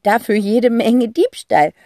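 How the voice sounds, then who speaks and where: A woman doing a loud, excited schoolboy. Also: high-pitched, a little slow, no room sound, clean studio sound, pitched above the performer's own voice. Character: eager, quick-witted schoolboy. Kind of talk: audiobook character voice.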